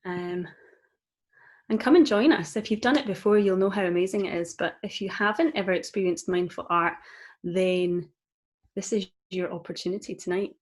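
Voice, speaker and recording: Gentle Scottish woman leading mindfulness. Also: on the low side, normal speed. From Scotland. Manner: gentle, warm and encouraging, with frequent ums. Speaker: mindfulness coach. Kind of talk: live-streamed guided mindfulness session.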